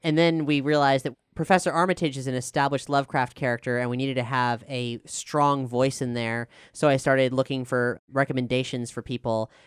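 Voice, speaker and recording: Smooth clear voice, medium pitch, casual panel talk, explanatory, conversational showrunner. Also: fairly even intonation, brisk, hardly any pauses, dry recording, clean studio sound. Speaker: showrunner and writer of an audio drama.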